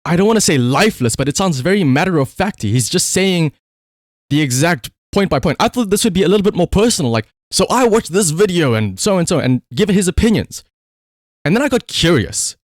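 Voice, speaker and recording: A man's voice: sits high, big pitch swings, brisk, dry recording, studio quality. A man livestreaming casual commentary, telling a story conversationally with rhetorical asides.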